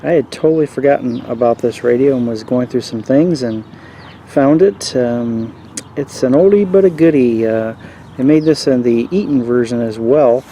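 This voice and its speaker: A man hosting a casual, unscripted hobby review, explaining features in a halting, easygoing way. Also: mid-range voice, mellow, slight background noise. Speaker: radio hobbyist host reviewing gear. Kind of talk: casual unscripted product walkthrough.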